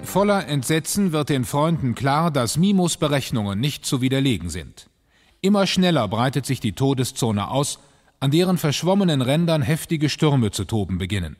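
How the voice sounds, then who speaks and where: A man's voice: mid-range voice, sing-song, moderate pace, in a large hall, soft background music. An actor as the grave male narrator of a scripted sci-fi adventure audio drama. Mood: grave, suspenseful.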